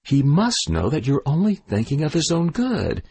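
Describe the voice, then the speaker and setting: A man's voice: fairly high pitch, huge pitch swings, normal speed, darkish tone, slightly rough, pitched above the performer's own voice, exaggerated voice. A man voicing a group of stern older princess sisters who lecture their youngest sister, in a storybook reading.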